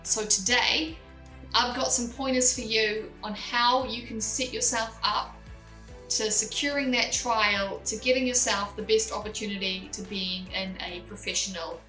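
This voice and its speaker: A woman's voice: fairly high pitch, steady tone, a little slow, pushed and bright, faint music behind. A young woman with a New Zealand accent, hosting a vlog and giving direct, practical, step-by-step tips. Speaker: host. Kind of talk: casual advice vlog. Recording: roomy and a bit distant from the mic.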